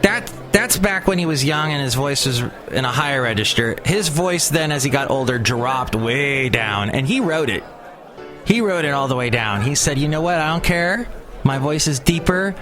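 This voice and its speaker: Man, podcast host, rambling, chatty, mid-pitched, music playing behind. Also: expressive, normal speed. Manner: rambling, chatty, many fillers and restarts. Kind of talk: casual solo podcast talk.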